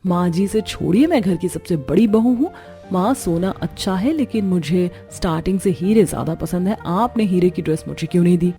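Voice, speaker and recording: A woman's voice: fairly low pitch, animated, a little fast, warm tone, soft background music. A woman voicing a discontented young daughter-in-law in a cartoon story, complaining in a plaintive way. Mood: jealous, indignant. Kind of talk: Hindi cartoon story dialogue.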